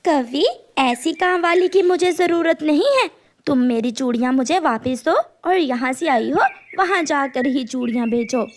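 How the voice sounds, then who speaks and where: A woman's voice: high-pitched, a little slow. A woman voicing a practical female bird who sells bangles, kindly but firm and matter-of-fact, in a cartoon. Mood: stern.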